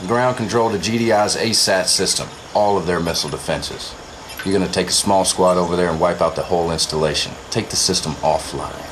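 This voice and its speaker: Male actor as insurgent officer, video game briefing, deep voice, informal, brotherly, wry, noisy vehicle background. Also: lightly acted. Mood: cocky, sizing up. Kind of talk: casual game mission briefing.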